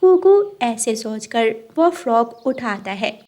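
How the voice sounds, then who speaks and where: A woman's voice: on the high side, animated, slow pace, mellow. A woman narrator reading a scripted children's cartoon story.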